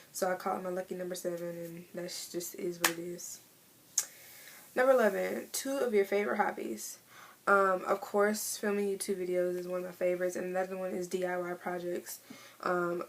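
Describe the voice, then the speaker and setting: A woman of twenty in a casual vlog, chatting in a relaxed way with many fillers as she answers tag questions. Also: medium pitch, creaky. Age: 20s. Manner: chatty, laid-back, lots of fillers. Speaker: vlogger. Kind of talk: casual tag video chat.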